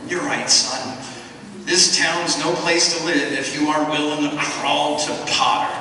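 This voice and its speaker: A man playing a kindly middle-aged father who talks in a gentle, halting, earnest way, in an amateur radio play. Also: a little high, steady tone. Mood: tender. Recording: echoey hall, distant mic, noisy.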